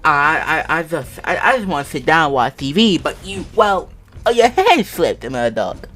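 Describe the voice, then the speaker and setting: A man's voice: a little high, big pitch swings, normal speed, bright, forceful tone, pitched above the performer's own voice. Man doing a timid stammering male singer over music. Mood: nervous. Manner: stammering, hesitant. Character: timid, flustered tall male singer from fan fiction. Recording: music playing behind the voice.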